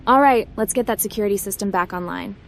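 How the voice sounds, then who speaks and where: A woman's voice: mid-range voice, fast, vocal fry, a little hiss or hum, lightly acted. A woman voicing a plucky young female hacker and adventurer, the protagonist of a video game roleplay. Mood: curious, resolute.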